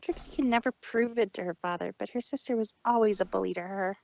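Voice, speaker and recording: A woman's voice: medium pitch, quick pace, vocal fry, darkish tone, no room sound, natural voice, rough recording. A woman playing a proud but wounded female pony magician who speaks haughtily of herself in the third person, in a fan fiction audio drama. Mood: indignant, bitter. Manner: haughty, self-referential third-person speech.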